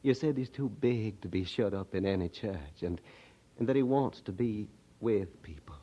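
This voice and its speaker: An actor playing a humble, ashamed poor country drunkard with a rural Southern American accent, halting and folksy, in a radio drama. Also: male voice, fairly low pitch, animated. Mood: humbled, troubled. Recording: dull old radio drama recording.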